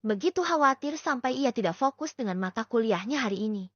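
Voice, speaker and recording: Lady narrating an audiobook story, kinda high voice, dry dull lo-fi sound. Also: lively intonation, fairly quick, dry recording.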